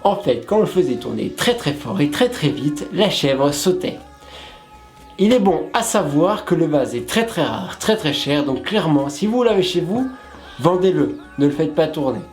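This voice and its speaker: Web show co-host, casual explainer, mid pitch, playful asides, music behind. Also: sing-song, normal speed, a little mellow.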